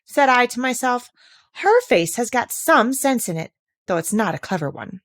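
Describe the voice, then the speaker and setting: A woman's voice: fairly high pitch, big pitch swings, moderate pace, a bit bright, no room sound, clean studio sound, voice pushed up. A woman voicing a haughty, blunt rose in a storybook, with a snooty and severe manner. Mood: condescending. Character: haughty, blunt, condescending female talking rose flower.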